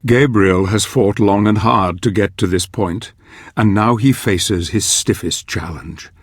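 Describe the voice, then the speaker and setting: Solemn, scheming older mentor narrating dark fantasy game; unhurried, measured, close dry sound. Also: male voice, lowish voice, husky, dry recording. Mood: solemn, ominous. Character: grave older male chronicler, scheming mentor, solemn and foreboding.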